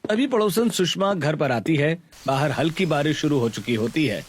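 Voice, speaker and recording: A man's voice: a little high, animated, normal speed, faint music behind. A man narrating a scripted cartoon family story.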